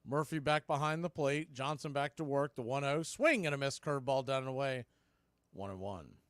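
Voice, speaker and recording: A man calling live baseball on the radio, mixing clipped pitch calls with conversational, partisan asides. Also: normal speed, a bit bright, no room sound, studio quality. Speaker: baseball radio play-by-play announcer. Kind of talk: live sports commentary.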